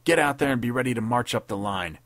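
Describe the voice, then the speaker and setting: A man playing a tough, vengeful army captain who speaks in gruff, threatening tones. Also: mid-range voice, fast talker, a bit bright, no echo, voice pushed up, lightly acted. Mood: menacing.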